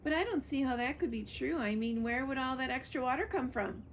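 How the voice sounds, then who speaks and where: Woman voicing a high, chattering little animal. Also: brisk, a little hiss or hum. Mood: doubtful. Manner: wide-eyed, anxious, chattering. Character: small, chatty, worried woodland animal. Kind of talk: storybook character voice.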